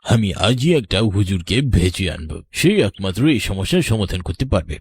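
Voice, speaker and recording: Man playing a grave, low-voiced village elder. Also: big pitch swings, brisk, slightly rough, dry recording, exaggerated voice. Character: grave, knowing older village man guiding youngsters. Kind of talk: horror cartoon dubbing.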